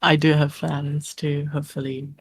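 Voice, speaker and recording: A woman streamer from New Zealand with a New Zealand accent touched by Singaporean speech, chatting as an interview guest in a relaxed way full of "like". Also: low voice, moderate pace, breathy edge, no room sound. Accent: New Zealand with Singaporean traces. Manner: relaxed, uses "like" often. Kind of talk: casual interview chat.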